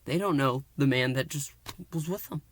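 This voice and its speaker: A man's voice: medium pitch, moderate pace. A man giving a casual solo review and recap, rambling with repeated fillers and restarts. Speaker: podcast reviewer.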